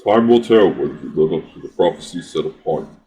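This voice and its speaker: A man's voice: rumbling low, expressive, fast, dark tone. A man acting as a cryptic, solemn prophetic stranger in a theatrical game monologue, speaking portentously. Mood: solemn, ominous.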